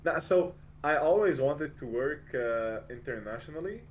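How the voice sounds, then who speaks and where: A man's voice: on the high side, expressive, normal speed, slight background noise. A man in his twenties from France and Lebanon talking informally and easily as the guest in a casual interview. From born in France, grew up in Lebanon. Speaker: interview guest, game streamer.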